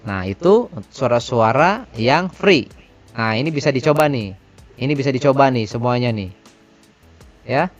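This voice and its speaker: A man's voice: medium pitch, expressive, moderate pace, pauses often. A man hosting a casual product demo and review. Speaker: reviewer and tutorial host. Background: faint music.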